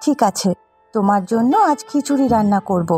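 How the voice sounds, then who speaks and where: A woman plays a caring young housewife in a cartoon folk tale. Her medium-pitched voice coaxes and gently nags, with soft music playing behind it. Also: brisk, lightly acted. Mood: coaxing, nagging. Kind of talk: Bengali cartoon story dialogue.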